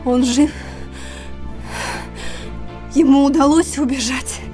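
An actress playing a battered, betrayed wife in an audio drama, husky and breathy, halting and anguished. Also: female voice, sits high, steady tone, dark tone. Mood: anxious, weak. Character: battered, betrayed wife, weak, wounded and bitter. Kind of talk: emotional audio-drama acting. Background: music.

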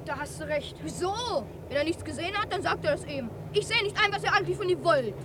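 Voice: extremely high pitch, animated, fast talker, recorded from a distance. A performer playing a stubborn, plain-spoken schoolboy from a street gang in a radio play, talking in a protesting, colloquial way. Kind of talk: German radio play dialogue. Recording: off-mic, noisy outdoor street ambience.

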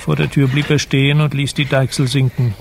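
A man narrating a literary radio drama in a measured, sombre storytelling manner. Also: mid-range voice, level tone, creaky, a little hiss or hum.

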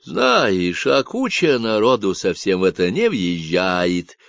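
A man's voice: low-pitched, big pitch swings, gravelly, a bit bright, no echo, full character voice. A man voicing an unhinged Texan man on a radio, who talks in a nasal drawl full of slang and mockery. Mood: mocking. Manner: slow, mocking slang talk.

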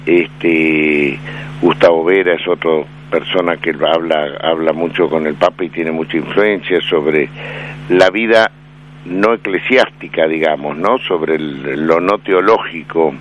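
A man with an Argentine accent, commenting on a radio interview in a measured, hesitant way with many fillers. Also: a bass voice, steady tone. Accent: Argentine Spanish. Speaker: radio commentator on Vatican affairs. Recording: dull lo-fi AM radio broadcast with faint noise.

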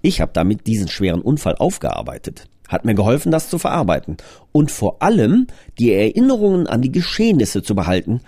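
A man's voice: medium pitch, wildly swooping pitch, fairly quick, warm tone, husky, dry recording, clean studio sound. A man presenting his own audio drama in a scripted but conversational trailer, explaining things earnestly.

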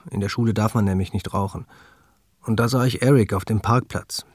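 A man voicing a former student's eyewitness account as a measured German documentary voice-over. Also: lowish voice, steady tone, a little mellow, husky, no room sound, studio quality. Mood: grave recollection. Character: male American former student recalling a traumatic shooting.

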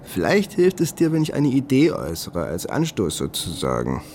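A man's voice: medium pitch, huge pitch swings, normal speed, a little mellow, slight background noise. An actor in a German radio drama playing a wry, inquisitive male police detective who asks dry, probing questions in a naturalistic way. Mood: wry, evasive.

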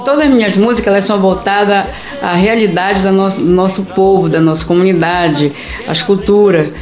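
A woman's voice: mid-range voice, normal speed, a little mellow. A middle-aged woman with a northern Brazilian accent from Pará, speaking plainly and spontaneously as a radio interview guest, searching for words at times. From Brazil, quilombola community near Santarém in Pará. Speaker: singer-songwriter, interview guest. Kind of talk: spontaneous personal interview talk. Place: audio studio, for a radio programme. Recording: roomy studio sound, music behind.

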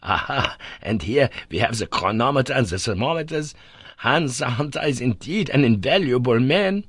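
A man voicing an excitable, eccentric elderly professor who exclaims with high glee in a storybook reading. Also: moderate pace, slightly rough, dry recording, studio quality. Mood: gleeful.

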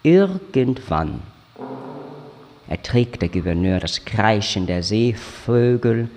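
A man giving a measured, scripted literary narration for a radio drama, telling the story in short declarative phrases. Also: fairly low pitch, expressive. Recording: loud music under the voice. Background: loud music with brass sounds.

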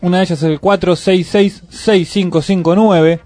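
A man's voice: sits high, moderate pace, no echo, faint music behind. A man with an Argentine accent hosting a community radio culture show, chatting casually and reading out event listings. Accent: Argentine Spanish. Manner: relaxed, reads event listings conversationally. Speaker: radio host.

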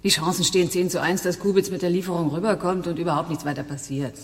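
A woman's voice: lowish voice, steady tone, quick pace, close to an everyday voice. Woman acting breezy teasing lady in a radio play, echoey, bit of noise behind. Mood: calm, persuasive. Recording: echoey room, faint noise.

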